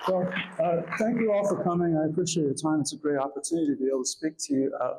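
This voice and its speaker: A man with a raspy voice from a cough, giving an academic lecture in a halting way with many pauses and fillers. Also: on the high side, fairly even intonation, darkish tone, low-quality recording. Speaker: guest lecturer.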